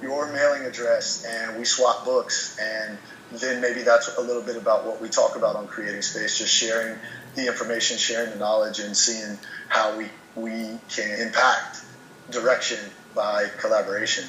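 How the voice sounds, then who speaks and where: A man's voice: fairly low pitch, level tone, normal speed, pushed and bright, slight background noise. Earnest motivational man in a roomy space. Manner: earnest, motivational, repeats words as he restarts. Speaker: podcast guest. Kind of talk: casual chat.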